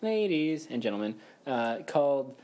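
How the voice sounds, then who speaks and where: A man's voice: medium pitch, sing-song, moderate pace, a little mellow. A young man co-hosting a casual podcast, joking and rambling and laughing often as he talks. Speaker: podcast co-host.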